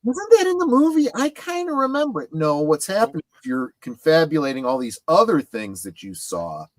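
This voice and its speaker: Middle-aged man in halting chat, dry sound. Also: fairly high pitch, huge pitch swings, dry recording. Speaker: panelist on a fan discussion show. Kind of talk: casual chat about films and memorabilia.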